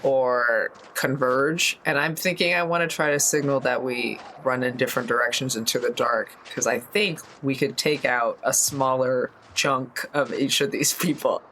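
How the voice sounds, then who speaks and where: A woman's voice: very deep voice, steady tone, moderate pace, few pauses, a bit bright, a little hiss or hum, low-quality recording. A woman playing a tabletop roleplaying game, chatting casually as she talks through her character's moves. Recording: music behind the voice.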